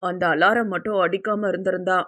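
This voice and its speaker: A woman's voice: fairly low pitch, fairly even intonation, a little fast, a bit bright, pitched below the performer's own voice, natural voice. A woman voicing a flustered young man in love, scolding affectionately in an audio novel. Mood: lovestruck, teasing. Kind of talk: Tamil audio novel character acting.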